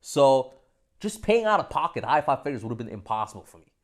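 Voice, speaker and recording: Animated, swearing young man from Austria. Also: medium pitch, a little fast. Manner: animated, swears freely, jumps between loud and soft. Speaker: vlogger. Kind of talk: casual monologue to camera.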